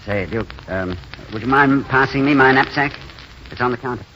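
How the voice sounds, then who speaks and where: An actor playing a courteous, wistful, idealistic drifter in a 1940s radio drama, speaking in an eloquent, genteel way. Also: male voice, mid-range voice, moderate pace, pushed and bright, natural voice. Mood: polite, earnest. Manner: eloquent, genteel, hesitant openings. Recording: dull, faintly noisy 1940s radio recording.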